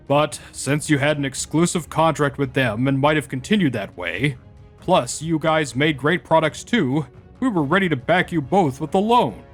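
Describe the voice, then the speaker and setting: An actor playing a polite but firm bank loan officer in a manga dub. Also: male voice, on the high side.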